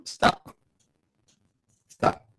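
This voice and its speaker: A man's voice: drawn-out words, fairly bright tone. A man hosting a casual livestream, who laughs often, repeats himself and answers his chat. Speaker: livestream host.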